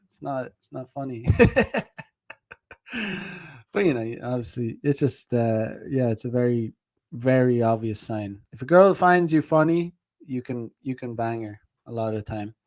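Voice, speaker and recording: A man's voice: mid-range voice, moderate pace, darkish tone. A man talks loosely on a casual stream, circling back on himself with constant fillers and frequent laughs. His voice sits in an ordinary middle range. The sound is dry and close, with no room echo. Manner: rambling, heavy on fillers, laughs often. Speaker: dating advice streamer. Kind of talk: casual rambling livestream talk.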